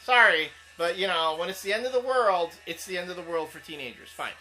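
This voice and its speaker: A man's voice: high-pitched, expressive, brisk, bright, forceful tone, faint music behind, rough recording. A man co-hosting a casual comic book talk show, rambling and restarting as he defends his opinion. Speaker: co-host.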